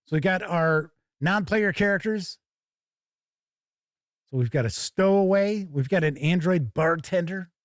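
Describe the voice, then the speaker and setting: A man's voice: high-pitched, unhurried, dry recording, clean studio sound. A man hosting a tabletop gaming show, chatting casually and thinking aloud as he gives live first-look commentary.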